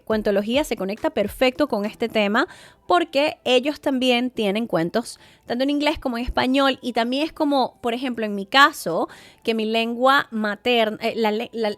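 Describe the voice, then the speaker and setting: A woman talks casually to her podcast listeners, earnest and full of asides. Her voice sits a little high. The recording is close and dry, with no room sound. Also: dry recording. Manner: warm, earnest, advising parents with many asides. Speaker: podcast host.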